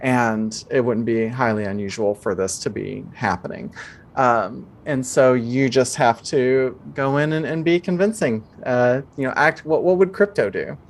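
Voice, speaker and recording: A woman's voice: rumbling low, unhurried. A woman improvising narration as game master of a tabletop game, speaking in a casual, conversational way.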